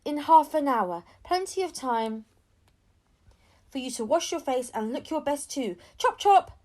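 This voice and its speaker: A woman's voice: high voice, very animated, unhurried, a little mellow, pitched above the performer's own voice. A woman voicing a loving, decisive mother talking to her young child in a storybook. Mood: brisk, pleased.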